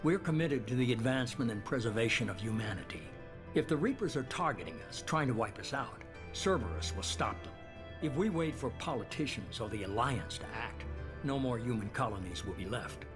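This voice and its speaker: Man acting a smooth, calculating secret-organization leader. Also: mid-range voice, animated, natural voice. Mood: grave, persuasive. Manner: measured, persuasive, self-assured. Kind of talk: video game cutscene acting. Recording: music behind the voice.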